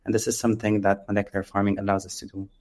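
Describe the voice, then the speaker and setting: The Lebanese-accented male founder you would hear as a guest on an industry interview, hesitant, low and level in pitch. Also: level tone, a little mellow. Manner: hesitant, halting, with frequent long ums. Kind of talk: explanatory interview answers.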